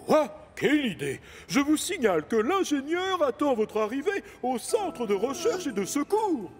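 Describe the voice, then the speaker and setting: A man's voice: extremely high pitch, big pitch swings, moderate pace. A man playing a stern, easily outraged railway boss with a scolding manner in a children's cartoon dub, his voice echoing. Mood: shocked, indignant. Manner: scolding, indignant.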